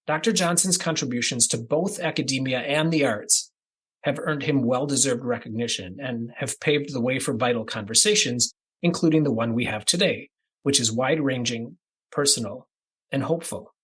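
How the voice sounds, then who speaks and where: Guy from the midwest hosting his podcast, kinda husky, steady and deliberate, reads his intro off a script. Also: mid-range voice, low-quality recording. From American Midwest. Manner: measured, deliberate listing cadence. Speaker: podcast host.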